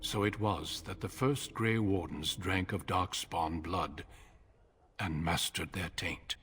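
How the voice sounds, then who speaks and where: A grave warden commander. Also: male voice, deep voice, fairly even intonation, fairly bright tone, slight background noise. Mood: solemn. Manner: solemn, measured, authoritative.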